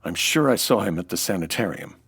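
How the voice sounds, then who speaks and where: Man playing a low-voiced hard-boiled American noir sleuth. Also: expressive, fairly quick, gravelly, fairly bright tone, breathy edge, exaggerated voice. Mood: determined, cool. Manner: terse, noir-style storytelling. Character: hard-boiled American nightclub owner, weary, wry sleuth. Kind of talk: 1940s radio detective drama narration and dialogue.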